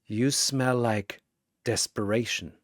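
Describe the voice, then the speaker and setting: A narrator playing a grim, measured masked avenger. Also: mid-range voice, no echo, clean studio sound, lightly acted. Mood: icy. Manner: measured, solemn, cold pronouncements. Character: grim, disciplined masked male avenger, icy and solemn.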